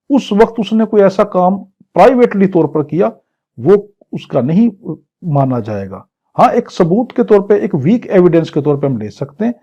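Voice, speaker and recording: A man's voice: fairly high pitch, dark tone, slightly rough, lo-fi sound. A man with a Pakistani accent giving an exam-prep law lecture, explaining didactically with questions he answers himself and mixing Urdu with English legal terms. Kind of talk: exam-prep lecture, reading statute phrases and explaining them.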